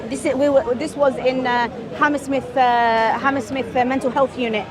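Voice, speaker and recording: A woman's voice: high-pitched, flat delivery, moderate pace. A woman of Moroccan origin debating a host outdoors, speaking hesitantly with many restarts. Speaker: passerby guest. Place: busy outdoor public space. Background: crowd chatter.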